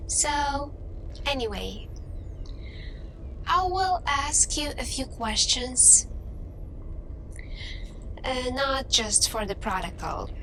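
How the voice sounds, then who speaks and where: A woman playing an earnest humanoid alien interviewer in an ASMR roleplay, speaking softly and intimately with hesitant, deliberate phrasing and long pauses. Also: fairly high pitch, heavily acted. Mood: softly probing. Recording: clearly noisy background with ambience.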